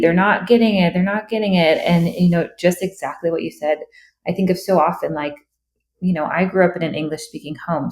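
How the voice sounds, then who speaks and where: A woman hosts a podcast, enthusiastic and affirming as she chats with a guest. Her voice is a little low with a gravelly edge, and the room rings with echo. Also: a little mellow. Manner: enthusiastic, affirming, with many fillers. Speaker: podcast host. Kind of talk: conversational interview. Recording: echoey room.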